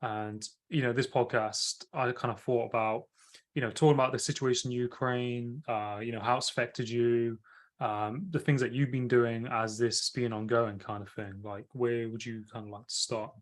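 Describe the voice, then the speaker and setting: A man's voice: medium pitch, fairly even intonation. Rambling male podcast host. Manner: rambling, halting, full of "you know". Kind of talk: casual interview talk.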